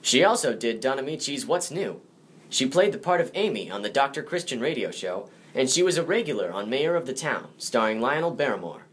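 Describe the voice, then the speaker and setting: A man's voice: mid-range voice, big pitch swings, a little fast, fairly bright tone. An American man presenting a scripted biography as its narrator. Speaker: presenter, grandson of the subject.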